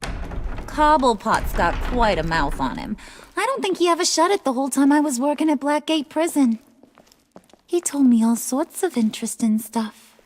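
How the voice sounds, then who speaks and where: A brash game villainess, with a high voice and a taunting, mock-sweet manner. She flirts and threatens in the same sassy breath, over noticeable background noise. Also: very animated, moderate pace. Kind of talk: dramatic video game acting.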